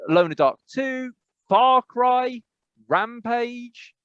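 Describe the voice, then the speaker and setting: A man with an English accent co-hosting a podcast, rambling and animated, with emphatic repetitions. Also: very high-pitched, slow, clear, resonant tone, no echo, highs cut off. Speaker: podcast co-host. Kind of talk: casual chat.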